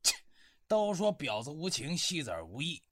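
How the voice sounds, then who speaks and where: Brief: male actor as sneering, greedy intelligence deputy, rough bright voice, animated, dry recording, period radio drama. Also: fairly high pitch, normal speed, dry recording, full character voice. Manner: blunt, mocking.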